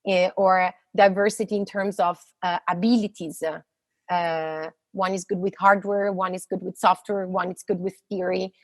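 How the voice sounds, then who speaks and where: A woman's voice: fairly low pitch, flat delivery, moderate pace, no echo. A woman in her thirties with an Italian accent, a physicist answering interview questions casually, hesitant and full of fillers and restarts. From Italy. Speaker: guest, postdoctoral physicist.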